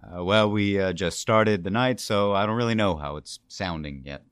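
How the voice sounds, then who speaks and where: A man's voice: on the low side, normal speed, fairly bright tone, smooth, dry recording, lightly acted. Offhand radio engineer played by a man, dry close sound. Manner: offhand, teasing. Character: laid-back male radio engineer and prankster.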